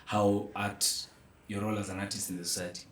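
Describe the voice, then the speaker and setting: A man's voice: on the low side, flat delivery, brisk, a bit bright. A man with a Kenyan accent telling anecdotes in casual, code-switching conversation. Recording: roomy echo, distant from mic.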